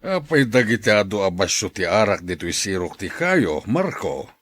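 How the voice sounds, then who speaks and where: Man playing a deep, husky older boss. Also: very animated, moderate pace, fairly bright tone. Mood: stern. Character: stern older plantation boss, proud and calculating. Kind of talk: audio drama acting.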